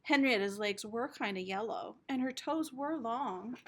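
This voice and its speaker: A woman teacher reading a children's storybook aloud in an expressive, dramatic storytelling manner. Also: a little high, a little slow.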